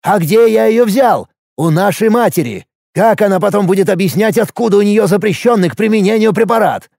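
Menacing male schemer performed by a man, desperate, insistent, jealous older brother, animated delivery, audiobook fiction. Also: sits high, a little fast, creaky, dry recording, studio quality, voice pushed up, exaggerated voice. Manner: pressuring, insistent.

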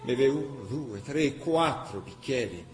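A man's voice: mid-range voice, expressive, slow. Solemn male chronicler, very echoey. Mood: tense. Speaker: radio drama actor narrator. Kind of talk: scripted dramatic chronicle narration. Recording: echoey room, clear background noise.

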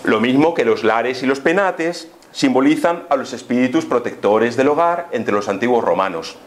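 A man's voice: mid-range voice, expressive, normal speed. Spanish man lecturing over background noise. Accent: Spanish, from Spain. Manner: didactic, with rhetorical questions and check-in tags. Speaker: lecturer on spiritism. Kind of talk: informal explanatory lecture to an audience.

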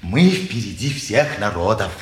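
A man's voice: fairly low pitch, big pitch swings, unhurried, a little hiss or hum. An actor playing a courteous, talkative townsman who explains things sententiously in an old stage style. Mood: busy, opinionated. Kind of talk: mid-century Russian stage acting. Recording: roomy 1950s theatre recording.

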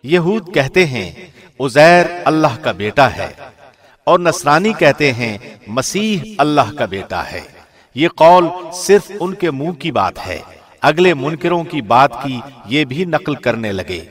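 Expressive male scripture reader, mid-pitched. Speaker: translation reader, radio presenter. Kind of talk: formal scripted reading of scripture translation. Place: studio.

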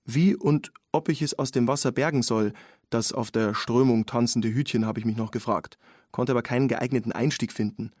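An actor playing a sober, observant man in a radio play, giving a detailed account in clipped, measured phrases. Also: medium pitch, darkish tone, no room sound, studio quality, natural voice. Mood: tense recollection. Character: man recounting finding a drowned woman, sober and observant. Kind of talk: radio play testimony narration.

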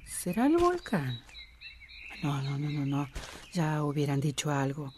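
An actress playing a worried, pensive farmer talking to herself in a radio drama. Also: female voice, sits low, very animated, a little slow, darkish tone, slight background noise. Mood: puzzled. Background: music and outdoor nature sounds.

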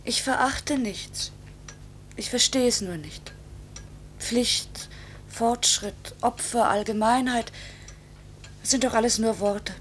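An actress playing a pilot's anguished wife in an old radio drama, pleading earnestly with quiet bitterness. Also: female voice, a little high. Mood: bitter. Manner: earnest, repetitive, measured phrases. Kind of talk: 1950s German radio play acting. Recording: faintly noisy vintage radio recording.

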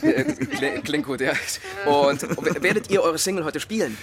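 A man's voice: fairly high pitch, big pitch swings, normal speed, a crowd in the background. An actor playing an eager, nervous male music magazine reporter in a radio play, stammering politely through an interview. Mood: flustered, nervous. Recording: music behind the voice.

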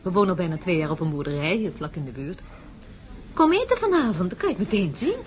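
An actress in a radio play voicing an astronaut's wife, a doctor who talks chattily and anxiously. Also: female voice, lowish voice, sing-song, quick pace. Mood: chatty, friendly. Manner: chatty, turning anxious and urgent. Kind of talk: radio drama acting. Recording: noisy ambience behind voice.

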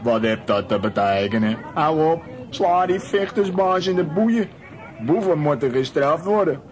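A man playing a stern, proud sea captain in a children's radio play, with an authoritative, gruff manner. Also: a little high, animated, a little slow. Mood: indignant.

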